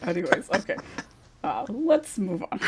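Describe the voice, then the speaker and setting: A woman's voice: mid-range voice, wildly swooping pitch, measured pace, heavy background noise. A woman who writes ASMR roleplay scripts, chatting casually on a podcast with frequent laughs and fillers.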